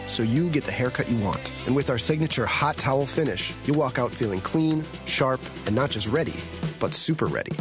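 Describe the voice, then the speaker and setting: A man's voice: mid-range voice, expressive, a little fast, music in the background. A man reading a radio commercial in an upbeat, polished way. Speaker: ad announcer.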